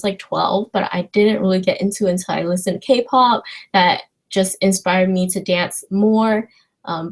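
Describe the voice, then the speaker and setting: A young woman from China chatting informally as a livestream host, rambling and hesitant as she addresses her viewers. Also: fairly low pitch. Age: young adult. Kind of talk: casual livestream chat answering viewer questions.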